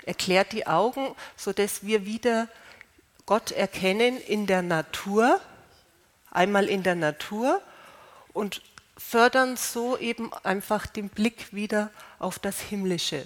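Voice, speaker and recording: A woman with a slight cold calmly explaining how to use healing stones, unhurried, with fillers and restarts. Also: medium pitch. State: slight cold, clearing throat. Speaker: gemstone healing presenter.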